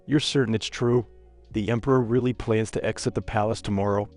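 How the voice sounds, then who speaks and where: A man's voice: fairly low pitch, steady tone, brisk, a little mellow, close to an everyday voice. A man playing a cold, ruthless old court schemer in a dubbed comic drama. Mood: cold, plotting. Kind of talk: dubbed comic-recap character dialogue. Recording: voice over background music.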